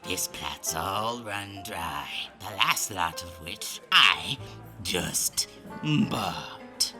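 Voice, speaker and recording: A man's voice: deep voice, animated, extremely slow, bright, forceful tone, soft background music, exaggerated voice. A man playing an oily, wheedling crooked businessman in an audio drama, with a theatrical, fawning manner. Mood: mocking. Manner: theatrical, fawning, swooping between purrs and squeals. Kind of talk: audio drama character acting.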